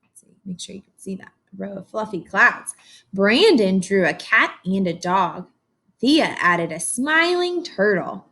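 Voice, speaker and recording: A woman reading a picture book aloud to young children as a teacher does, pausing to explain and ask simple, encouraging questions. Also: medium pitch, big pitch swings, creaky, fairly bright tone.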